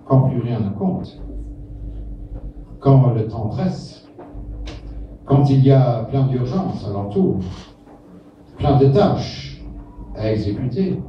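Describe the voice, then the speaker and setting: A man giving a live spoken-word poetry recitation in short, deliberate, incantatory phrases. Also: mid-range voice. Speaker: poetry reader. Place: indoor reading stage, echoey, distant from mic. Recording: echoey room, distant mic, muffled, faint noise.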